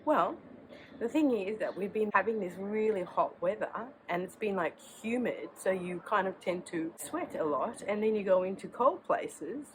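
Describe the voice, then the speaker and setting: A woman's voice: medium pitch, expressive, moderate pace, noisy background. A woman with a sore throat and a cold, chatting casually to camera outdoors as the host of her tarot channel, rambling and restarting sentences. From Australia.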